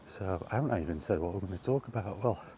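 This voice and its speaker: A man's voice: low voice, lively intonation, quick pace, warm tone, slight background noise. A man vlogging casually about gaming in a rambling, plain-spoken way while out walking, recorded on a small wireless mic.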